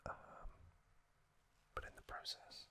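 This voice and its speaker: A man whispering an unhurried, halting ASMR explanation in a breathy, unvoiced voice, as the host of a trivia recap. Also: pushed and bright.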